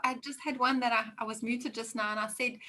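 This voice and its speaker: Bright-voiced woman co-interviewer. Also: on the high side, fairly even intonation, fast. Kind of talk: asking an interview question.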